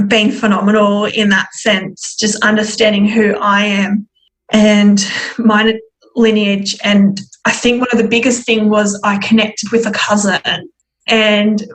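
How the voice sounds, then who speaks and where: A woman guest telling her personal story in conversation, reflective and candid, with a halting delivery. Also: medium pitch, poor audio. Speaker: podcast guest.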